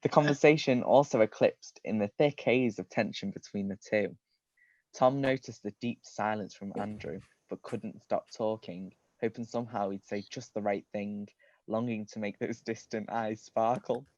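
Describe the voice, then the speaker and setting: A man's voice: on the low side, steady tone, moderate pace, darkish tone, no echo. Man reading aloud, dull close-up sound. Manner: often breaks into giggles. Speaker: reader. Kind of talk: reading comedic fan fiction aloud on a livestream.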